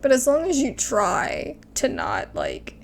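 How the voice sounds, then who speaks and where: A woman's voice: high-pitched, wildly swooping pitch, slow, vocal fry, slight background noise. A woman giving casual, candid and reactive gameplay commentary as the host of a gaming video.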